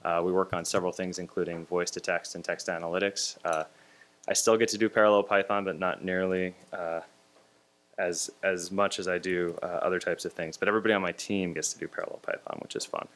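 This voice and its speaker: A man's voice: deep voice, fairly even intonation, fairly quick, a bit bright. A man from Hawaii introducing himself to a tutorial audience and polling the room, with many hesitant fillers. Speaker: tutorial instructor.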